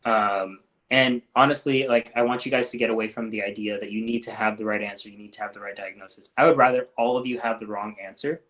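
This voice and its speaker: A man hosting a casual live-stream Q&A as a doctor, talking loosely with lots of ums and restarts and letting his sentences trail off quietly. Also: lowish voice, quick pace, poor audio. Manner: relaxed, frequent ums and restarts, trails off softly.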